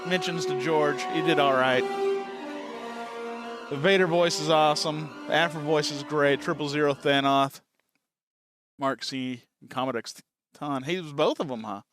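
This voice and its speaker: A man's voice: on the high side, normal speed, long pauses, music in the background. A man hosting a reaction video, giving casual, rambling, informal commentary.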